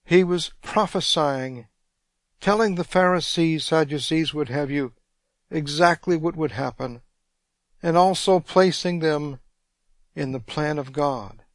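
A man preaching a radio sermon, congested, reading scripture slowly and deliberately. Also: on the high side, dry recording.

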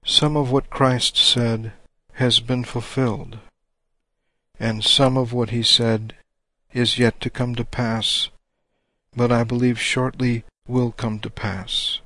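A man preaching a sermon, reading scripture slowly and deliberately, his voice a little rough and congested. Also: mid-range voice, little pitch movement, bright, forceful tone, dry recording. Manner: deliberate, measured reading of old-style scripture.

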